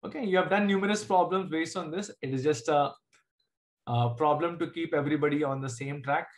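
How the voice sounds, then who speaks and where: A man's voice: a little high, fairly quick. A man in his thirties from Jharkhand with an Indian English accent and Hindi as his first language, teaching math on a video call, explaining step by step and checking in with students often. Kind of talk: online class teaching. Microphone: computer mic on a video call.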